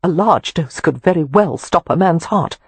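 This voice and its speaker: Narrator doing a gruff prim governess. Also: mid-range voice, lively intonation, moderate pace, no room sound. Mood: stern. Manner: gruff, clipped. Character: prim, stern young governess. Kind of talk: audiobook character voice.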